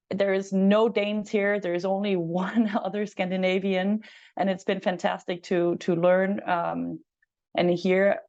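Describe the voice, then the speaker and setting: A woman's voice: fairly low pitch, darkish tone. A woman from Denmark, a master's student, talking fluently and friendly about her studies. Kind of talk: casual webinar talk. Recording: dull lo-fi call audio.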